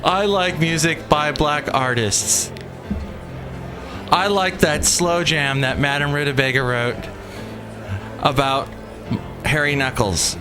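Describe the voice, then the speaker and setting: A man's voice: a little high, takes it slowly, pauses often, music in the background. A man hosting a comedy radio chat show, talking in a playful, goofy, rambling way.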